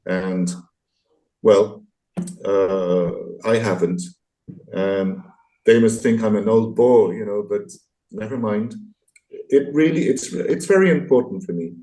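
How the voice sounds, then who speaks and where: A man in his sixties with a low, soft-edged, mellow voice gives reflective interview answers. He is articulate and thoughtful, often searching for the right word, and gently self-deprecating. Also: slow, long pauses, rough recording. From France, partly raised abroad. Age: 60s. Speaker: interview guest, graphic novelist and illustrator.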